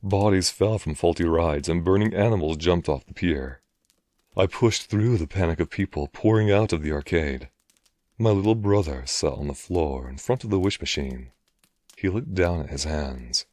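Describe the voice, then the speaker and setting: A man's voice: sits low, animated, normal speed, darkish tone, no room sound. A man narrating a scripted first-person horror story.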